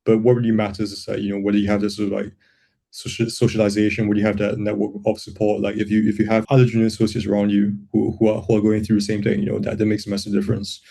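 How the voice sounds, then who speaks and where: A young man from Singapore talks through his reasoning in a relaxed podcast interview. His voice sits low with a gravelly fry, and he keeps circling back over his words with restarts before landing his point. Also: racing. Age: young adult. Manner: many restarts and repeated words, thoughtful and explaining. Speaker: guest, lawyer and software builder. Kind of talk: casual interview talk.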